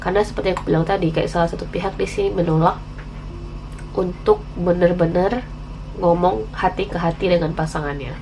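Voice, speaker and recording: Indonesian woman, tarot host, lowish gravelly voice, flu, chatty and candid, English slang mixed in, noisy background. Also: a little fast. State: has the flu, candy in mouth. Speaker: tarot reader, channel host. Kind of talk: casual tarot reading to viewers.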